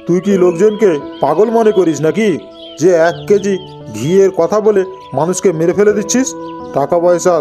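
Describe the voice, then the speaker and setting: A man's voice: high-pitched, dark tone, close to an everyday voice. An actor playing a blustering, sceptical man of authority in a cartoon, exasperated and scolding. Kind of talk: Bengali cartoon voice acting.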